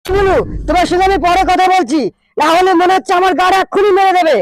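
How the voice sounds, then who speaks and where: A man's voice: very high-pitched, steady tone, fast, no room sound, rough recording. A man voicing a cheeky, jittery, irreverent field reporter in a comedy cartoon news spoof. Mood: nervous.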